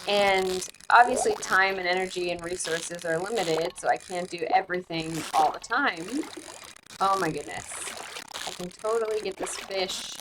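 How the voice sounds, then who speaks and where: Streamer, woman, from the US, casual talky delivery full of fillers, noisy home mic. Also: mid-range voice. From United States. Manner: chatty, thinks aloud with fillers. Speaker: streamer and host. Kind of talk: casual chat while playing a game. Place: home, living abroad.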